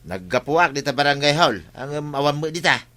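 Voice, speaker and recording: A man's voice: on the high side, expressive, fairly quick, sharp tone. A man playing a nosy, griping village resident in a comedy drama. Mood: questioning.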